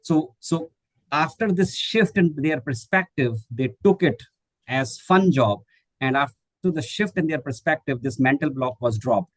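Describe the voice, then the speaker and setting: A man's voice: mid-range voice, no echo, low-quality recording. A middle-aged man from India whose first language is Hindi, answering questions in an online webinar, hesitant with many fillers and restarts. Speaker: guest speaker, English teacher trainer.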